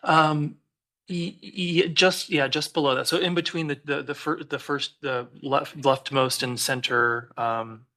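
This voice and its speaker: Halting man with a gravelly voice. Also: fairly high pitch, fairly even intonation, sharp tone. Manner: hesitant, many fillers and restarts. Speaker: commissioner. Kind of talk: public meeting discussion.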